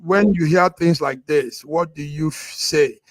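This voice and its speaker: Higher-voiced man hosting news. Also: no echo. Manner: halting, repeats words. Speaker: news discussion host. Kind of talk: news discussion, unscripted presenting.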